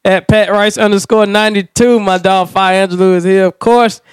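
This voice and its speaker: A man's voice: sits high, steady tone, normal speed, clean tone, no echo, studio quality. A man hosting a podcast in casual, hyped, slangy banter with plenty of swearing. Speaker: podcast host and DJ.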